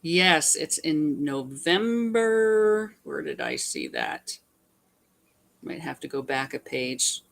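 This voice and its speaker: A woman's voice: deep voice, sing-song, takes it slowly, creaky. A woman chatting casually as a co-host, answering the host and filling in details. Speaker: co-host assistant. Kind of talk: casual chat.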